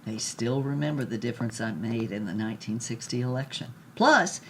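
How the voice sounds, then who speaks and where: A woman's voice: extremely low pitch, fairly quick, fairly bright tone, slight background noise. An actress playing a brassy, worldly old former film starlet, telling her story in a knowing, theatrical way in an audio drama. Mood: wry, proud.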